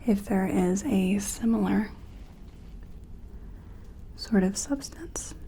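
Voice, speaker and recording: The woman you'd hear in a late-night ASMR exam roleplay, gentle and unhurried, mellow, explaining each step tentatively over a faint hiss. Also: mid-range voice. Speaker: ASMR performer playing a sci-fi examiner. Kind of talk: soft-spoken and whispered ASMR roleplay.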